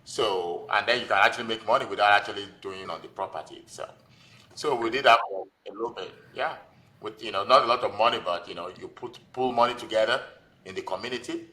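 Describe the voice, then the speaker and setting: A man's voice: on the low side, quick pace, bright, forceful tone, low-quality recording. A man in his forties with a Nigerian accent, telling his own story informally as a guest in a conversational interview. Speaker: podcast guest, physician and investor.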